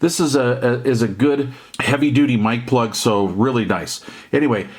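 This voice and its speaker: Middle aged american guy, medium pitch, casually showing off gear for his hobby channel, folksy and plain spoken. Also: moderate pace, slightly rough. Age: middle-aged or older. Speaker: hobby radio reviewer and YouTube host. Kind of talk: casual unscripted product walkthrough.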